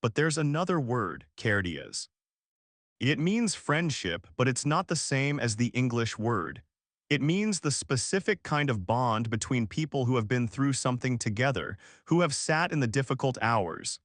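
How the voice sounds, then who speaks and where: A man voicing a steady, kind woman nurse who speaks calmly and plainly. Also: mid-range voice, moderate pace, dry recording, clean studio sound. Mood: gentle. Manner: calm, reassuring, plain-spoken.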